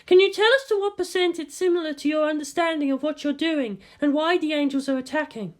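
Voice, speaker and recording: Woman plays press reporter: female, pushy, insistent, high-pitched. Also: fairly quick, natural voice. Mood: determined. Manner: insistent questioning.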